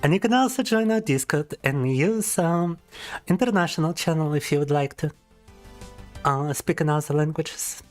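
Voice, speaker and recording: A man's voice: fairly high pitch, moderate pace, faint music behind. Playful young man. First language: Russian. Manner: playful and teasing, reads chat aloud and laughs often. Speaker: VTuber streamer. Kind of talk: casual livestream chat.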